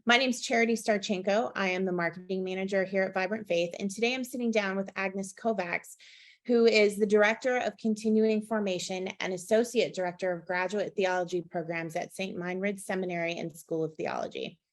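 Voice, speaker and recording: A woman's voice: medium pitch, steady tone, a little fast. A woman hosting a conversational interview, speaking as the one who asks the questions. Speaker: interview host.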